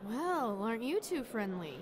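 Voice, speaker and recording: A woman's voice: a little high, very animated, moderate pace, crowd noise. A woman playing a brash young female soldier in a radio drama, blunt, sassy and impatient. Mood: sassy, skeptical. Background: loud music.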